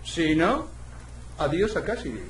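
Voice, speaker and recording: A man voicing a small, wheedling weasel newspaper reporter in a video game, hesitant and excitable as he bargains. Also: on the high side, a little slow. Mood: cheeky, pushy. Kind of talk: video game dialogue acting. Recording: echoey, faint background noise.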